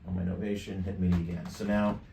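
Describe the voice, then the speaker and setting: A man in his fifties giving a casual software tutorial, thinking aloud in an offhand, mildly profane way. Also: sits low, level tone, fast talker, creaky. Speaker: tutorial presenter. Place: indoor home studio desk. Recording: roomy, distant mic, background noise.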